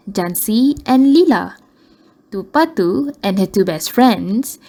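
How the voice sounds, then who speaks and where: A woman's voice: medium pitch, mellow. A woman reading scripted narration for a radio drama.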